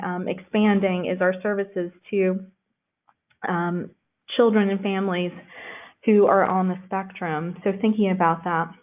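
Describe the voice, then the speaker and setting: A woman giving a conversational library lecture, pausing often with "um". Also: medium pitch. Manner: conversational, frequent "um" fillers. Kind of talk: recorded lecture with slides. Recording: tinny phone-like recording.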